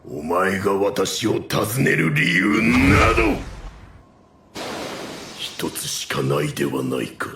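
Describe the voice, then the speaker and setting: A man voicing a stern, proud monstrous warrior in an anime audio drama, gruff and stiffly formal, his voice echoing. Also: sits low, wildly swooping pitch, slow pace, pauses often, exaggerated voice. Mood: stern, indignant. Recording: echoing, reverberant voice with faint music.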